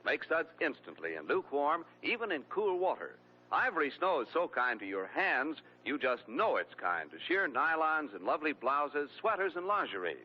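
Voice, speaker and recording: A man's voice: on the high side, sing-song, moderate pace. A man reading a soap commercial in a persuasive 1940s radio announcer style. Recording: dull lo-fi old radio recording with hum.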